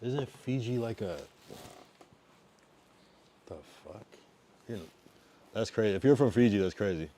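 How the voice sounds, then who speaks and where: Laid-back low-voiced streamer man. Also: expressive, measured pace, pauses often, slight background noise. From East Coast US, Massachusetts and Connecticut. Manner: laid-back, drifts loud then mumbly. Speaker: livestreamer. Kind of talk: casual livestream chat with viewers.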